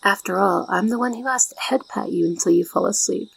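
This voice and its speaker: A shy young maid played by a woman in an ASMR roleplay, devoted and deferential. Her gentle voice is breathy and heavily creaky, with formal, careful wording. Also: mid-range voice, fairly quick, poor audio. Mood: tender, soothing.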